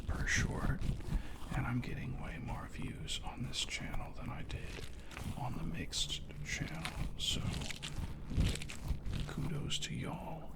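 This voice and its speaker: A breathy, mostly unvoiced whisper from an ASMR creator chatting casually and unhurriedly in short broken phrases, close to the microphone. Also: medium pitch. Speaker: ASMR video creator and host. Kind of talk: ASMR soft talking, casual rambling chat.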